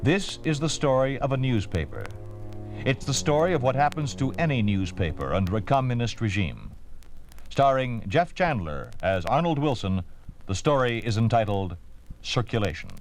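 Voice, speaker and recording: A man giving solemn, deliberate dramatic narration as the announcer of a 1950s radio series. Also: medium pitch, very animated. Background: music.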